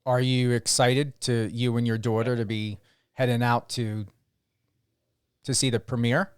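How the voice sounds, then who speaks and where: A male radio host asks casual questions in a middle-pitched voice. His prompts are short and friendly, and his voice sounds close and dry. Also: flat delivery, measured pace, clean tone, no room sound.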